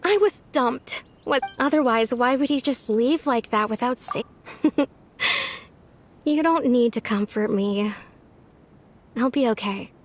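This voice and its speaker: A woman's voice: on the high side, a little hiss or hum, lightly acted. A woman playing a sincere, wistful young village girl in a video game, speaking earnestly and conversationally. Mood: candid, wistful.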